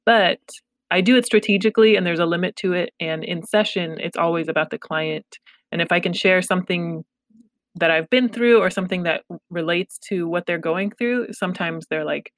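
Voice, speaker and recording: A woman therapist speaks openly in a relaxed interview, reflective and quick to laugh at herself. Her voice sits a touch low. The sound is close and dry, with no room around it. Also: no room sound. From Los Angeles, United States. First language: Pashto. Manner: candid, reflective, self-deprecating humor. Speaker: therapist, guest. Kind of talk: casual conversational interview.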